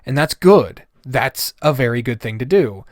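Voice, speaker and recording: A man's voice: mid-range voice, expressive, measured pace, no room sound, clean studio sound. A man delivering a casual, blunt, exasperated rant full of swearing, as a reviewer giving his opinions. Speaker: reviewer of visual novels.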